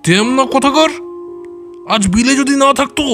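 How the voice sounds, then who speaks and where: An indignant villager in a cartoon ghost story, pitched extremely high. He scolds roughly and abusively, with faint music behind him. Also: animated, a little slow, heavily acted. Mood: angry scolding. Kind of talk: animated horror story acting.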